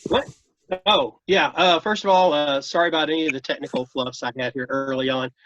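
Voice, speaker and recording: A man's voice: on the high side, a little fast, a bit bright. A man giving casual, meandering feedback in a group video call. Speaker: table read participant giving feedback. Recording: dull, lo-fi video call audio.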